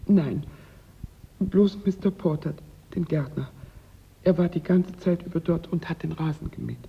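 An actress playing a meek, saddened housekeeper, polite and subdued, in a radio crime drama. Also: female voice, low voice, a little slow, creaky. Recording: muffled, reverberant, faintly noisy recording.

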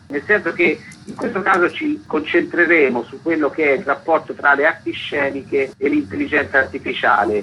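A man's voice: mid-range voice, bright, forceful tone. A man speaking as a festival curator in a radio interview, explaining in long, linked sentences. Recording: dull lo-fi radio connection, clear noise.

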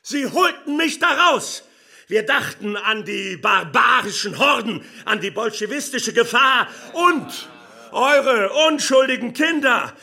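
A fanatical Waffen-SS hero haranguing listeners in a radio drama. His pitch is extremely high and his tone hard and piercing. He declaims slowly and stridently. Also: expressive, gravelly, sharp tone, heavily acted. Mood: fanatical, triumphant. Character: fanatical decorated Waffen-SS war hero boasting to crowd. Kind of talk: shouted wartime oration in a radio play.

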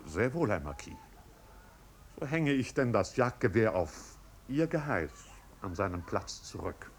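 Pompous, stilted manservant. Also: male voice, on the low side, very animated, a little slow, slight background noise. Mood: grave, formal. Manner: stilted, elaborately formal, deferential. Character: fussy, loyal, pompous manservant of a marquis. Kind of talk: 1950s German radio play acting.

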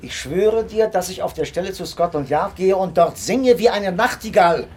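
Husky, expressive loyal companion. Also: male voice, high voice, moderate pace. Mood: alarmed, insistent. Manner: earnest, expressive. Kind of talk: German radio drama acting and narration.

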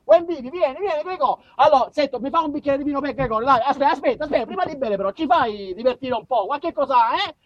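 A man playing a rowdy southern Italian village tease who loudly and insistently coaxes a drunk. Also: extremely high pitch, fast, hardly any pauses, no room sound, recorded from a distance, dull audio, pitched above the performer's own voice. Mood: coaxing, mischievous.

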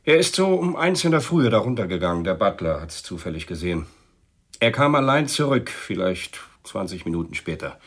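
Blunt police inspector for radio noir: rough voice, widely swinging intonation, brusque, insistent. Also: male voice, low voice, very animated, brisk, exaggerated voice. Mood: grim. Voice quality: husky, rough. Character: blunt, hard-nosed male homicide police inspector. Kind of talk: German radio play acting.

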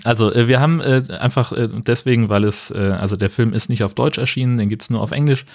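A man's voice: mid-range voice, fast, vocal fry, darkish tone. A man hosting a podcast, moving between casual chat and comedic scripted narration. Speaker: podcast host.

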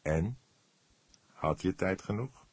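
A man's voice: deep voice, expressive, no echo, exaggerated voice. Man als vermoeide rechercheur, diep en hees. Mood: weary, questioning. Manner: measured, terse, resigned questioning. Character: weary, probing older male police detective. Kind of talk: audiobook character dialogue.

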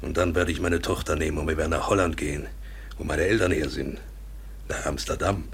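An actor playing a deluded, impoverished colonial trader in a radio play, rambling insistently and feverishly in a hoarse, breathy voice. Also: male voice, rumbling low, steady tone, brisk, exaggerated voice. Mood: obsessed hope. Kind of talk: German radio play acting.

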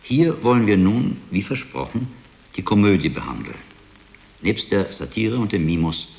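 Deep-voiced, learned monk investigator. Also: male voice, a little mellow. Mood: solemn reading. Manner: deliberate, insistent, erudite, articulate. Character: shrewd, learned middle-aged monk investigator, William of Baskerville. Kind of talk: German radio drama acting. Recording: long hall reverb, background noise.

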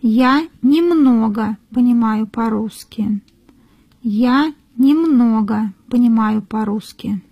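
A woman reading model sentences for learners in a careful, deliberate way, repeating each phrase. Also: fairly high pitch, breathy edge, no echo, lo-fi sound. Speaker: native-speaker model reader.

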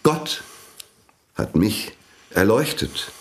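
An actor in a German radio play playing a fervent, imperious priest and inventor, grandiose and preachy in manner. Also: male voice, fairly low pitch, sing-song, very slow, a bit bright, roomy sound, heavily acted.

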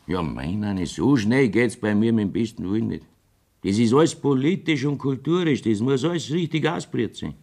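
A man's voice: mid-range voice, lively intonation, moderate pace, a little mellow. A jovial, evasive Bavarian village mayor blusters in earthy dialect. His mid-range voice swings widely up and down, in folksy radio play style.

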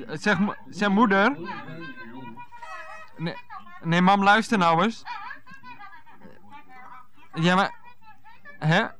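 A flustered grown son in a radio comedy, with a bright, forceful voice. He stammers, wheedles and turns indignant as he placates his mother over the phone. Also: fairly high pitch. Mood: exasperated, sheepish. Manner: stammering, indignant, put-upon. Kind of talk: 1990s radio comedy acting.

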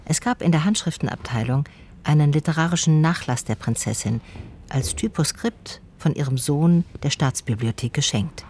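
An actress playing an observant, dryly sardonic woman who narrates her investigation in a radio drama in a measured, literary way. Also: low-pitched, darkish tone, a little hiss or hum.

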